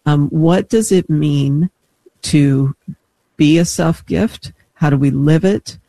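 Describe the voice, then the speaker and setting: A man hosting a religious talk show in a reflective, explanatory, conversational way with frequent pauses. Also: fairly high pitch, gravelly, warm tone, no room sound. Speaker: radio show host.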